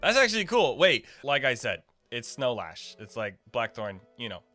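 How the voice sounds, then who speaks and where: A man's voice: mid-range voice, big pitch swings, normal speed, bright, forceful tone, soft background music. A young man giving casual live gameplay commentary, thinking aloud in a slangy, playfully cocky way. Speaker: gaming video creator giving a quest guide.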